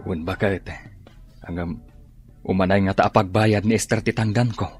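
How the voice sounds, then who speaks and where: A man's voice: deep voice, steady tone, moderate pace, faint music behind, close to an everyday voice. A man playing a hardworking, stoic husband and father in a radio drama, with a northern Philippine accent. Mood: earnest, determined.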